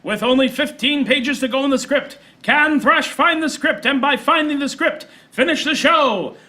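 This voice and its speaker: Male actor, melodramatic radio-serial announcer, forceful and sharp, unusually high pitch, bombastic comic delivery. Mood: breathless hype. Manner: dramatic pauses, projected. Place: small theater stage, live audience.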